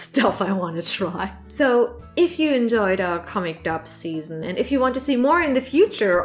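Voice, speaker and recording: Chatty woman vlogging over soft music. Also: medium pitch. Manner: rambling, informal, self-deprecating. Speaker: online creator and channel host. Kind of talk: casual chatty Q&A vlog to camera.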